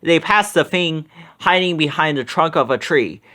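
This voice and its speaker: A reader narrating a children's story aloud in an expressive read-aloud style. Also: medium pitch, moderate pace.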